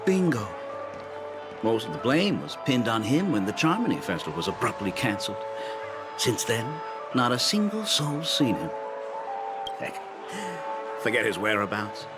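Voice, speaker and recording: Brief: actor playing a knowing, wry man, lively intonation, music loud behind. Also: medium pitch, normal speed, pauses often, lightly acted. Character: sly male city official trading rumors. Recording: game cutscene over loud music.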